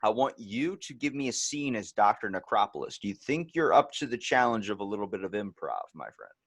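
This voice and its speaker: Profane, lively man with a Baltimore accent. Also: lowish voice, brisk, husky, dry recording, studio quality. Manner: loose, profane storytelling with bursts of laughter. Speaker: podcast host. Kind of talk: casual interview chat.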